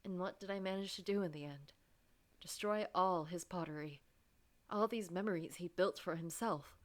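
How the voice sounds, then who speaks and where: A woman voicing an anxious, guilt-ridden office worker who narrates a story game in a dramatic, wounded, halting way. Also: fairly low pitch, natural voice. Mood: miserable.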